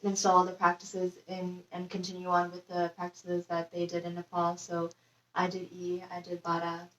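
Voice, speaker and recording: A young woman answering interview questions in a relaxed, filler-heavy way. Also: on the low side, normal speed, slight background noise. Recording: dull, echoey, distant.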